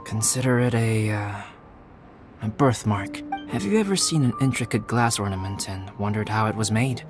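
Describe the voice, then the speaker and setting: A man voicing a calm, scholarly young male alchemist in a video game, soft-spoken and measured as he explains things. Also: lowish voice, natural voice. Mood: explaining. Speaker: game character. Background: game music.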